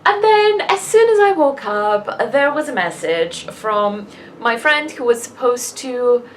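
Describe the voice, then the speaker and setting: A woman's voice: fairly high pitch, sing-song, slow. Exasperated woman vlogger, noisy background. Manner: exasperated, sweary, emphatic on key words. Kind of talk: casual chatty rant to viewers. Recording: noticeable background noise.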